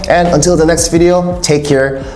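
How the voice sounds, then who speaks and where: Korean lady teaching english online, korean accent, low voice, super emphatic, bit of room echo. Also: steady tone, moderate pace, a little mellow, slight background noise. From South Korea. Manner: emphatic, repeats key points, addresses viewers directly. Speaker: English teacher and video host. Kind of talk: opinionated English-learning video monologue. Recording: roomy echo, faint music.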